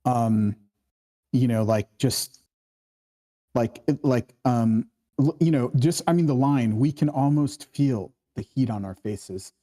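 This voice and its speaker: A man hosting a casual screenwriting discussion, explaining in a halting way and often checking for agreement. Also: medium pitch, dark tone. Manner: halting, explanatory, keeps seeking agreement with "right?". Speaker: host of a screenwriting table read.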